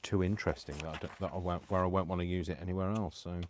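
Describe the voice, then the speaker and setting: A man hosting a live crafting stream, chatting casually and poking fun at himself, tired and clearing his throat. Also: low-pitched, steady tone, racing, slight background noise. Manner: chatty, self-deprecating, talks to himself. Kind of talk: rambling casual chat while crafting.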